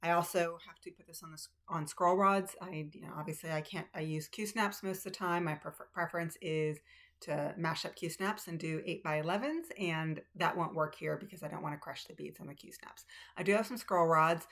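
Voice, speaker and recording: A woman's voice: low-pitched, fairly quick, a bit bright. A woman chatting casually to camera about her cross stitch, rambling with ums and restarts, recorded on a tablet's built-in mic in a room at home. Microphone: tablet's built-in mic, no external microphone.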